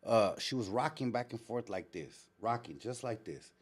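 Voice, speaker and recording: A man hosting a casual live show, retelling stories in an animated way with street slang and frequent swearing. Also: mid-range voice, moderate pace. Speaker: show host. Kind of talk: casual live storytelling and chat.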